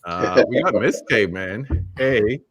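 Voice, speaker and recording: A man's voice: on the low side, expressive, measured pace, over a noisy crowd. Laid-back middle-aged male stream host. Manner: laid-back, shouting out chat viewers. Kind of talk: live stream roundtable hosting.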